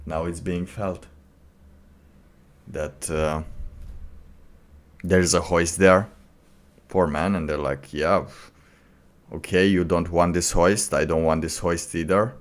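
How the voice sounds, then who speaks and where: A man giving casual, off-the-cuff commentary as a streamer, in a halting, rambling way. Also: low-pitched, fairly even intonation. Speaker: streamer reacting to a video.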